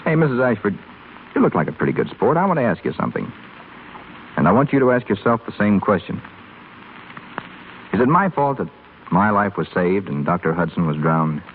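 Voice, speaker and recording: Wisecracking rich young man, low-pitched with swooping delivery, vintage radio play. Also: big pitch swings, a little fast, long pauses. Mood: wry, challenging. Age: young adult. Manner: wisecracking, sardonic. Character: brash, flippant, restless young rich male hospital patient. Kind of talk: 1930s radio drama acting. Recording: scratchy, tinny 1930s radio broadcast recording.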